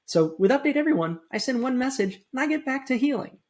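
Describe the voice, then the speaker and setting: A woman's voice: high voice, expressive, fast talker, darkish tone. A woman reading a scripted first-person sponsor message for a podcast.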